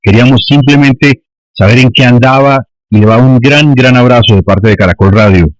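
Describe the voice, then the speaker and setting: A Colombian man hosts a live radio show from a dry, close-sounding studio. His voice sits at a medium pitch for a man. He puts questions courteously and slips in playful banter. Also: normal speed, no room sound, poor audio. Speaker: radio host interviewer.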